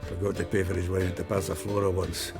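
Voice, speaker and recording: Casting: a crusty, plain-spoken fantasy-game local, low creaky voice, halting earthy delivery, music behind. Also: male voice, monotone. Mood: blunt, grumbling. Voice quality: gravelly. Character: gruff, crusty, foul-mouthed fantasy townsman. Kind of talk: video game cutscene acting. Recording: music under the dialogue.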